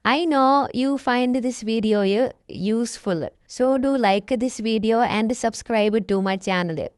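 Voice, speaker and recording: A woman's voice: on the high side, unhurried, smooth, dry recording, clean studio sound, natural voice. A woman reading the same short sample line several times, each in a different way. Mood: demonstrative.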